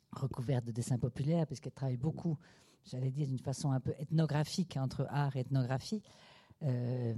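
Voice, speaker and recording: Dark-voiced older woman introducing a guest. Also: extremely low pitch. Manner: hesitant, many pauses and fillers. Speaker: curator introducing a speaker. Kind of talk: spontaneous introductory speech.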